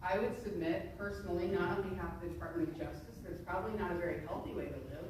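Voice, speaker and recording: A woman speaking as a federal prosecutor at a public presentation, explaining emphatically with rhetorical questions and deliberate phrasing. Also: fairly low pitch, fairly even intonation. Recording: distant, very echoey room, noisy live stream.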